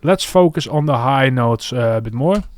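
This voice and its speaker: A man's voice: medium pitch, lively intonation, normal speed, heavy background noise. A man hosting a music production tutorial, talking casually and thinking aloud as he works. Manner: thinking aloud while working. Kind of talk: casual screen-recorded tutorial commentary.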